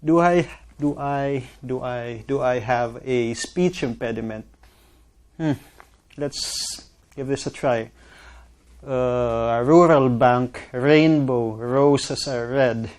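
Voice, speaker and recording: A man performing a slow, rhythmic spoken word piece of repeated word lists. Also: medium pitch, a little mellow. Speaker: guest.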